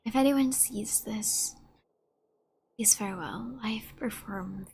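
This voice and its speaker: A woman streamer softly and dramatically reading game text aloud during a playthrough, with some hesitation. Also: a little high, slow, slightly rough.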